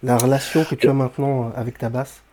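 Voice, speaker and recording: A man, a bass teacher, interviewing a student and explaining his teaching in an encouraging way. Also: flat delivery, fast talker, warm tone, slight background noise.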